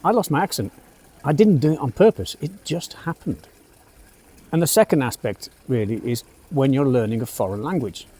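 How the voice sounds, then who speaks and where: A man's voice: mid-range voice, animated, normal speed, dark tone, slightly rough. A middle-aged man from England's West Midlands with a British English accent, an English teacher giving a casual spoken lesson on a café terrace, chatty with frequent uhs and asides.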